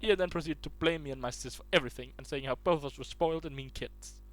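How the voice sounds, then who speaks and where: A man's voice: a little high, fast, a bit bright. A man reading online posts aloud in a casual way, stumbling and restarting words as he goes.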